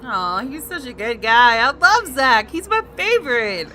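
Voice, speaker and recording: A woman gaming host reading visual novel narration aloud in an expressive storytelling style. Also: a little high, a little slow. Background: background music.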